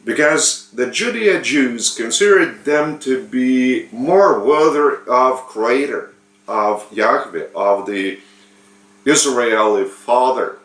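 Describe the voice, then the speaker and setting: Slow-talking Lithuanian man preaching. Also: mid-range voice, a bit bright, reverberant. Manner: slow, halting, with frequent pauses and fillers. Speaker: spiritual teacher. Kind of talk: unscripted sermon.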